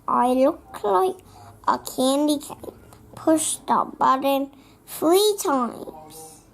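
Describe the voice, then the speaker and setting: A child reading a children's picture book aloud, halting word by word and sometimes repeating phrases. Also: sits high, huge pitch swings, vocal fry, slight background noise. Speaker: young storybook reader.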